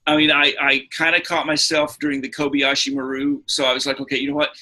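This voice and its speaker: A man co-hosting a podcast, chatting casually and telling film anecdotes in an animated way, slipping into acted-out quotes. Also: mid-range voice, quick pace. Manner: animated storyteller who acts out quotes. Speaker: podcast co-host.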